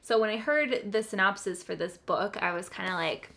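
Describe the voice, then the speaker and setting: A woman's voice: medium pitch, normal speed, vocal fry, bright, forceful tone. Enthusiastic, giggly woman chatting about books. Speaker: host of a book haul video. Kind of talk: casual chat to viewers.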